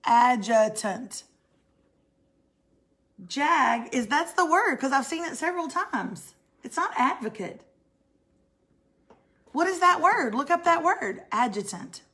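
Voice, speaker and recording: A middle-aged woman with a raspy voice, co-hosting a casual morning chat and clearing her throat often. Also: high-pitched, lively intonation, slow, long pauses, fairly bright tone. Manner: chatty, self-mocking, frequent throat clearing. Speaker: co-host.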